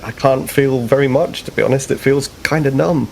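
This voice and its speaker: A man playing an earnest, sheepish young starship ensign in a tabletop roleplay, polite and halting. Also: mid-range voice, vocal fry, darkish tone, a little hiss or hum, voice pushed up, lightly acted. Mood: uneasy.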